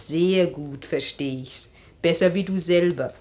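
A woman's voice: lowish voice, measured pace, darkish tone, close to an everyday voice. A woman playing a worldly older maidservant in a German radio play, teasing and knowing in a theatrical manner.

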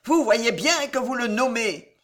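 A narrator voicing a fierce, fanatical queen mother in a loud, commanding, declamatory way with a hoarse edge. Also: mid-range voice, lively intonation, a little slow, voice pushed up. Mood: furious accusing. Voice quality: hoarse, gravelly.

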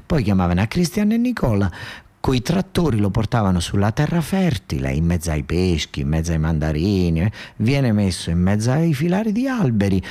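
A man's voice: lowish voice, dark tone. A man with a southern Italian accent performing a colloquial, satirical storytelling monologue, earthy and sardonic, with emphatic repetition and asides to his listeners.

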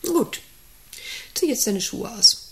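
An actress in a radio play as a domineering, eccentric factory director who rambles, commands and asks intrusive questions. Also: female voice, fairly high pitch, big pitch swings, slow pace. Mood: commanding, agitated. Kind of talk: German radio play acting.

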